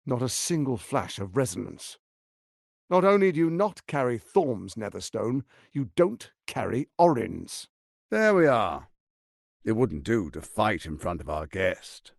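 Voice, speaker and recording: Scheming villain lord from a game, pompous n silky, pitch swings all over, gravelly edge, dry close recording. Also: male voice, very animated, dry recording, heavily acted. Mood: condescending, controlled. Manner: pompous, silky, theatrical delivery with deliberate emphasis. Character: smooth-tongued, scheming tyrant lord, grandiose and condescending. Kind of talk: theatrical video game voice acting.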